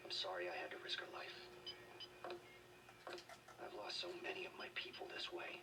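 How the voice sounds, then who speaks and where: A man's voice: normal speed, close to an everyday voice. A man playing a worried, protective middle-aged scientist and leader in a video game, grave and earnest. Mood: sorrowful. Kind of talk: dramatic video game voice acting. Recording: noisy, distant-sounding game capture.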